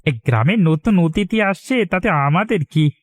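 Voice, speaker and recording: A greedy village husband from a cartoon , acted by a man. His male voice sits fairly high and sounds close and dry. He wheedles and schemes with animated delivery. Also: measured pace, no echo. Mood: curious. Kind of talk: Bengali cartoon dialogue.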